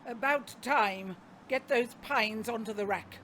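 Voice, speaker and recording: Loud, strident, bossy wife. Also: female voice, a little high, slow, slight background noise. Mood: bossy nagging. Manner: bossy, scolding. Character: domineering, stout, nagging middle-aged wife. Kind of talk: comic radio play acting.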